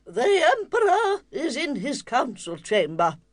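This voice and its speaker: A man's voice: extremely high pitch, huge pitch swings, unhurried, dry recording, clean studio sound, pitched above the performer's own voice, exaggerated voice. A man voicing a wailing court official making formal announcements in an exaggerated way for a children's storybook. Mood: announcing, plaintive. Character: high-voiced, wailing official of a royal court.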